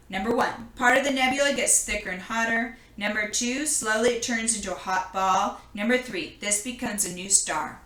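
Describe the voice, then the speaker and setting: Lady reading a kids science book out loud, bright highish voice, explains stuff as she goes, echoey room. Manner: explanatory, pausing to point things out. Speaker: reader of a children's book. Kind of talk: children's read-aloud of a science picture book.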